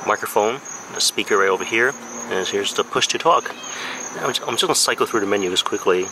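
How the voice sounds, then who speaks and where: A man's voice: fairly low pitch, fast. An American man in his thirties giving a casual, informal hands-on product review outdoors, with a plain, slightly hesitant way of talking. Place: backyard deck, outdoors. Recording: outdoor noise with insects and traffic.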